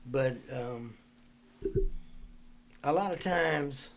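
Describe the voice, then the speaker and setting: A man's voice: mid-range voice, extremely slow, slight background noise. A man hosting a live talk show, speaking casually with many fillers and restarts. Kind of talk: casual live-stream interview.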